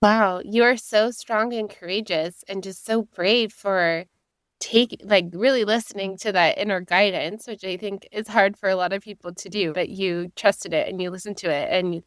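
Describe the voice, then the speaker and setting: Woman, 30s, podcast host, affirming, admiring, smooth resonant voice, dry close mic. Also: medium pitch, moderate pace, no echo. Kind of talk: conversational interview hosting.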